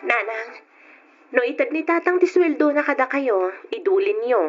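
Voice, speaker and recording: An actress playing an earnest, pleading family woman in an old radio drama, with a husky voice and Ilocano accent. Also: high voice, animated, normal speed, faint music behind. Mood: concerned. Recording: dull lo-fi old radio recording.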